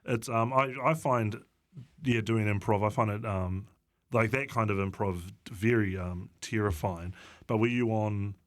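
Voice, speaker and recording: A man with a New Zealand accent talks as a guest comedian in a casual interview. His delivery is halting and full of pauses, with a dry, self-deprecating manner. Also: on the low side, fairly even intonation. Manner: halting, hesitant delivery with long drawn-out pauses.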